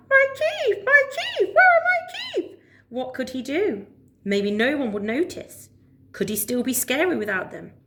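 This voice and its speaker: A woman reading a children's story aloud as a storyteller, with expressive narration. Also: fairly high pitch.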